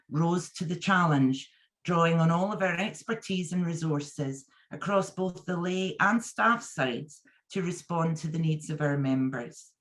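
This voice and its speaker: A woman who is a union deputy general secretary, giving a measured, formal conference address read from a script. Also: sits low.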